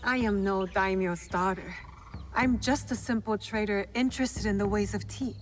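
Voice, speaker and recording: A woman's voice: mid-range voice, moderate pace, a little hiss or hum, close to an everyday voice. An actress playing a guarded young woman posing as a trader in a video game, direct and questioning. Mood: polite, guarded. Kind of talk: video game cutscene dialogue.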